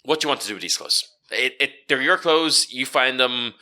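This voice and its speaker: Man playing Rowena, a lively, exasperated street hustler. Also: mid-range voice, brisk. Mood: grudging. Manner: haggling, exasperated, stammering. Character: Rowena, exasperated street-smart female hustler of stolen goods. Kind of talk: improvised tabletop role-play.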